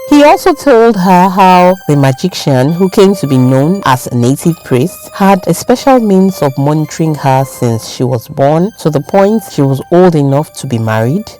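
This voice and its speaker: Expressive low-voiced woman storyteller. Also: moderate pace, few pauses, faint music behind, poor audio. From Nigeria. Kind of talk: scripted folktale narration.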